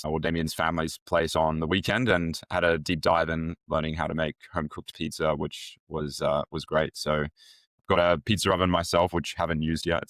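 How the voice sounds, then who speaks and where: A man's voice: extremely low pitch, fairly bright tone, no echo. A man co-hosting a podcast in a laid-back way, with a dry sense of humor. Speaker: podcast co-host, coach. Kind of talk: casual podcast chat.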